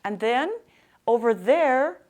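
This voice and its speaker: An older American woman with a loud, projecting voice, giving an author's talk and reading in an emphatic storytelling manner. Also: a little high, expressive, slow. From Nebraska, United States. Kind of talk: public talk with anecdotes and book reading. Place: live talk to a library audience in a room.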